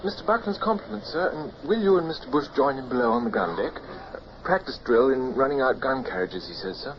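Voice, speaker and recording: A man's voice: mid-range voice, lively intonation, brisk, natural voice. An actor playing an eager, nervous teenage midshipman, stammering and deferential, in period radio drama style. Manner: stammering, apologetic. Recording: dull old radio recording with hiss.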